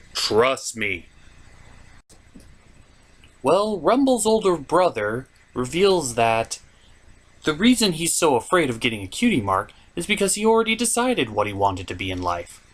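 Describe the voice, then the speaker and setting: A man's voice: a little high, fairly bright tone. A man hosting a comedic cartoon review, delivering scripted commentary and asides with theatrical, mock-dramatic emphasis. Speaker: host of a cartoon review show.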